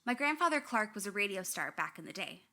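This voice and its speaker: A woman's voice: mid-range voice, very animated, fast, bright, forceful tone. A young woman playing a candid, wry daughter haunted by family tragedy, narrating a radio drama. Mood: reflective, wry. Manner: reflective, wry. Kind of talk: first-person scripted narration.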